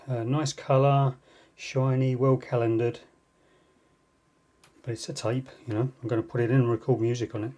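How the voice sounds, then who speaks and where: A man talks casually through a hands-on unboxing at a tabletop. His voice sits at an average pitch with a husky, unpolished edge. He speaks off the cuff, with dry, self-deprecating asides. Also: darkish tone. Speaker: host of an unboxing video. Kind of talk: casual unscripted hands-on commentary. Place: indoors at a tabletop. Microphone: phone filming the tabletop.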